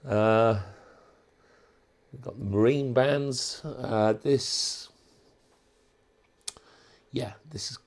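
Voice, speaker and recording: A man giving a casual, unscripted walkthrough as a hobbyist presenter, thinking aloud in a halting way. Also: medium pitch. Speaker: hobbyist presenter demonstrating a modified radio.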